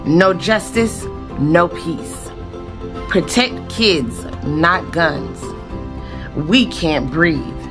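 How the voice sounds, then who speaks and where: A woman reading a rhyming children's picture book aloud expressively, phrase by phrase, with emphasis. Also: deep voice. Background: music.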